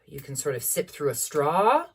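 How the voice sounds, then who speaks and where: A woman in her thirties with a low-placed speaking voice, leading a singing warm-up at home in an encouraging, instructive way. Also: very animated, moderate pace, breathy edge, far from the mic. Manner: encouraging, instructive, demonstrates vocal sounds. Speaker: voice teacher. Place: small room at home with a piano.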